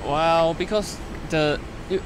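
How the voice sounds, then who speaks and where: A young man with a Chinese accent chatting casually in short, halting English phrases, outdoors on a shared handheld mic. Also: a little high. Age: young adult. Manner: halting, brief non-native English phrases. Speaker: interview guest. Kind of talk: casual chat. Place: outdoors in a parking lot. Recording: outdoor urban and car noise.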